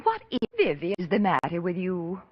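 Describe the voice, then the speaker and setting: An actress in an old-time radio drama playing a brisk, nosy society hostess, gushing and bossy. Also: female voice, fairly low pitch, very animated, slightly rough. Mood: exasperated, baffled. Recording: muffled old radio recording.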